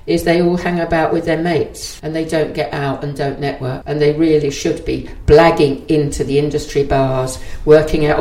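A woman's voice: deep voice, a little mellow, reverberant, low-quality recording. A woman on a discussion panel, giving emphatic, practical opinions.

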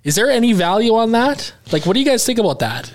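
A man's voice: very high voice, sing-song, quick pace. A man guesting on a sports podcast, curious, easygoing and self-deprecating. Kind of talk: casual chat.

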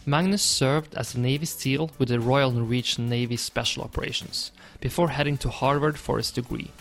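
Gravelly-voiced male podcast host. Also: mid-range voice, a little fast, a bit bright, soft background music. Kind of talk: podcast intro and interviewing.

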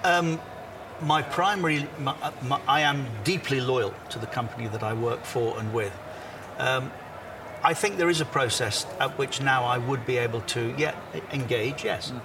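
A man in his sixties, an interview guest, giving measured, self-assured answers with occasional restarts. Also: mid-range voice, distant mic. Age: 60s. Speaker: interview guest, former broadcaster. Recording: outdoor location, audible city noise.

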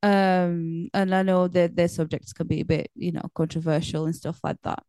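Smooth-voiced woman chatting. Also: on the low side, flat delivery, moderate pace, warm tone, dry recording, studio quality. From Portugal. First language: Portuguese. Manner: chatty, meandering, lots of fillers. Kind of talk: casual chat.

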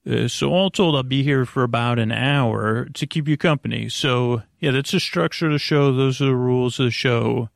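A man with a creaky voice, hosting a bedtime podcast in a halting, rambling monologue that keeps backtracking. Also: mid-range voice, dry recording, clean studio sound. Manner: halting, meandering, repeats and backtracks. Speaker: podcast host.

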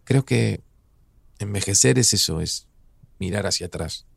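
A man playing an older Argentine father in an audio drama, speaking in a gentle, wistful, reflective way. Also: on the low side, measured pace, vocal fry, breathy edge, heavily acted.

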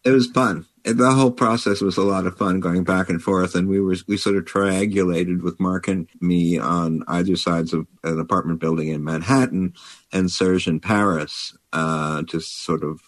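A man talking as a book editor in a conversational interview, with measured, slightly halting phrasing. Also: deep voice, fairly even intonation, no echo. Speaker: publisher and editor discussing a book.

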